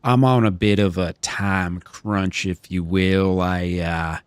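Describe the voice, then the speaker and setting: A man playing an 82-year-old woman who has smoked since age twelve, with a deep, smoky voice and a stammering, prim politeness. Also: steady tone, takes it slowly, clear, resonant tone, dry recording. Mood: prim, earnest. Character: woman of 82, chain-smoker, eager to remarry. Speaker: streamer playing a character. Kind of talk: roleplay.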